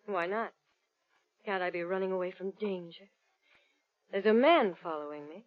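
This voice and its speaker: A woman's voice: fairly low pitch, normal speed, close to an everyday voice. An actress playing a nervous, glamorous young woman in trouble in old-time radio style, breathless, guarded and haughty. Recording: muffled lo-fi old radio recording.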